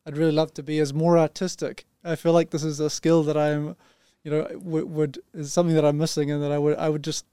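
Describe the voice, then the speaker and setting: A man who heads a company department, a native English speaker, talking as a podcast guest in a friendly, relaxed way with "you know" fillers. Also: fairly high pitch, a little fast, few pauses, clear, resonant tone, dry recording, clean studio sound. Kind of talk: casual podcast conversation.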